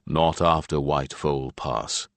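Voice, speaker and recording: A man voicing a calm, thoughtful husband and father in an audiobook, speaking in a dry, measured way. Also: no room sound, heavily acted. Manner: dry, low-key, measured.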